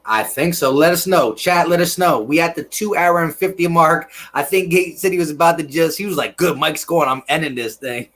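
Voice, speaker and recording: A man hosting a casual live-streamed sports podcast, reading out viewer comments in a relaxed, laid-back way over a video call. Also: a little high, fairly quick, few pauses. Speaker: podcast host reading chat.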